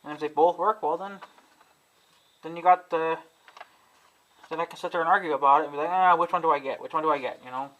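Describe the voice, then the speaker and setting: A man's voice: fairly high pitch, steady tone, fast, pauses often, fairly bright tone, rough recording. A man vlogging casually at home, rambling and swearing often as he complains about his equipment. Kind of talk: casual talking to camera about gear.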